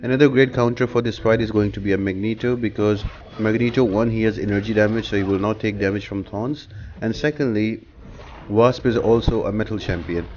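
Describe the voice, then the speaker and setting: A man's voice: fairly low pitch, brisk, a little mellow. A man giving a casual, step-by-step game walkthrough as a guide host, explaining tactics in an instructive way. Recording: dull lo-fi mic with clear noise.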